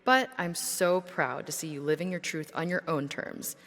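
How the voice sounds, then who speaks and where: An actress playing a commanding, maternal dominatrix mentor in an audio drama, with theatrical, declarative delivery. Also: female voice, low voice, steady tone, normal speed, fairly bright tone. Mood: proud, encouraging. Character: entrepreneurial dominatrix mentor woman, commanding, affirming, grandly maternal. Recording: long hall reverb over music.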